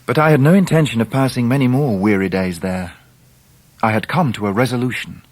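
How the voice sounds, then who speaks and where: An actor playing an earnest grown gentleman narrating his boyhood in a radio drama, measured and literary, with an English accent. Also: medium pitch, no room sound, lightly acted. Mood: resolved. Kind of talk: scripted first-person drama narration.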